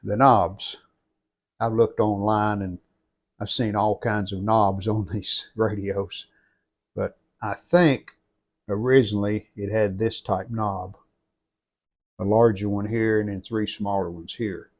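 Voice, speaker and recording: A man giving an unscripted hands-on restoration walkthrough in plainspoken, halting short phrases. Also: on the low side, steady tone. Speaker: radio restorer presenting own channel.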